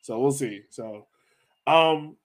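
Mid-pitched man chatting about soccer. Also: takes it slowly. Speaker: soccer fan podcast host. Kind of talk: casual chat.

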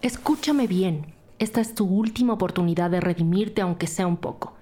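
An actress playing a tough, sceptical police detective, stern and accusing, in a crime audio drama. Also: female voice, lowish voice, moderate pace, dark tone, natural voice.

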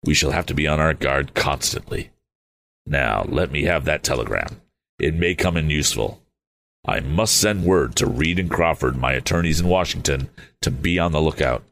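Man reading aloud as a deliberate father-inventor, deep and gravelly. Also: fairly bright tone, a little hiss or hum, exaggerated voice. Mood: calm. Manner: measured, deliberate. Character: steady older male inventor, cautious father.

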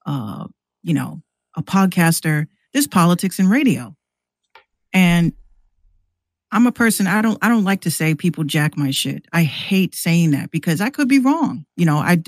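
A woman's voice: low-pitched, no echo. Candid American woman, close dry sound. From New York City, US. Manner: animated, candid, slangy, acts out quoted exchanges. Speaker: podcast guest, rapper and radio show host. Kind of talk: casual conversational storytelling.

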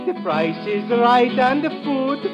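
Jolly calypso man, unhurried singsong rhymes over loud guitar, old radio. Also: extremely high pitch, expressive, muffled recording, full character voice. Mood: jovial. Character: jovial male Trinidadian calypso singer, admiring storyteller. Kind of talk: calypso performance in radio drama.

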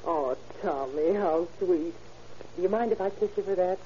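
A woman's voice: on the low side, normal speed, a little hiss or hum, lightly acted. A woman playing a devoted, sentimental mother in an old-time radio drama. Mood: tender, doting. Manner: gentle, sentimental. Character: tender, doting, fussing mother. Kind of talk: 1940s radio acting. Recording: muffled old radio recording.

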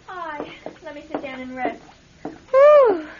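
A detective's dutiful assistant talks in a high voice, casual and a little weary, with dry asides. The radio drama audio is somewhat dull. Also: female voice, very animated, slow, very noisy, full character voice.

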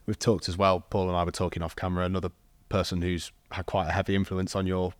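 A man's voice: sits low, level tone, fast talker, no echo. A man hosting a podcast, putting questions to his guest in a conversational way. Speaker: podcast host. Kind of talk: conversational interviewing.

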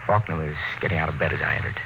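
An actor playing a weary, impassioned space captain facing death in a 1950s radio drama, with an intense, brooding delivery. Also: male voice, extremely low pitch, fairly even intonation, racing, creaky, rough recording. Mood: grim, weary. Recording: old radio recording with loud music.

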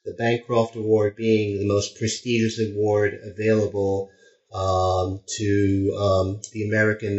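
A male interviewer giving a formal, halting introduction of his guest, with frequent pauses and fillers. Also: on the low side. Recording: roomy, dull lo-fi sound.

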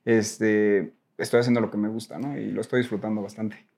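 A man's voice: fairly low pitch, steady tone, fairly quick, gravelly, darkish tone. A man from Mexico with a Mexican accent, telling personal stories casually as an interview guest, rambling and correcting himself. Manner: informal, self-correcting, rambling.

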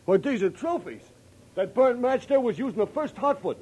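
A man playing a cocky, touchy secretary in a 1940s radio drama, wisecracking in slang with a husky voice. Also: very high voice, lively intonation, normal speed, a little mellow, heavily acted. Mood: brash, defensive. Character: cocky, touchy male secretary and prankster's admirer. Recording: vintage 1940s radio recording.

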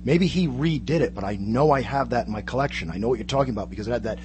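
A man of medium pitch talks quickly and casually as a radio co-host. Clear hum and noise sit behind his voice. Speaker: guest co-host, old-time radio fan. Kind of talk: casual chat. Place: radio studio.

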